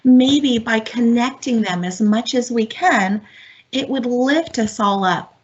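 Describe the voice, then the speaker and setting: A woman's voice: on the high side, animated, slow. A woman from Miami whose first language is Spanish, giving an upbeat, encouraging webinar presentation to teachers over a video call. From Miami, United States. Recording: dull lo-fi webinar audio.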